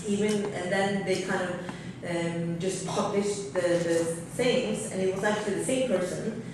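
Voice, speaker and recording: A woman's voice: medium pitch, steady tone, measured pace. Woman on a conference panel, far off mic, really echoey room, some noise, talks candidly with lots of ums. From Norway. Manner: candid, thinking aloud with many fillers. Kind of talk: seminar panel discussion. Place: large hotel conference room. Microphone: table mic heard from far off.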